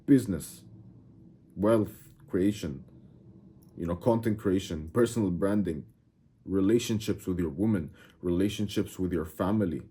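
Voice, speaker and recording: Husky low-voiced man, emphatic and brash. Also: unhurried, dark tone. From Egypt. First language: Arabic. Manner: emphatic, brash, keeps saying "bro". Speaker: self-improvement YouTuber. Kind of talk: casual direct-to-camera talk.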